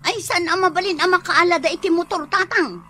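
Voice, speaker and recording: A woman's voice: brisk, sharp tone. A woman playing an envious, quarrelsome grown daughter in an Ilocano radio comedy drama, with a whiny, complaining manner. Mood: indignant. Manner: shrill, complaining.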